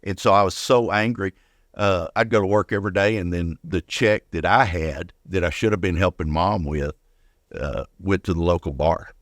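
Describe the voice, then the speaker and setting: A man's voice: low voice, normal speed, no room sound. An older man speaking as an interview guest, telling personal stories casually and often repeating words as he goes. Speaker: guest, retired firefighter.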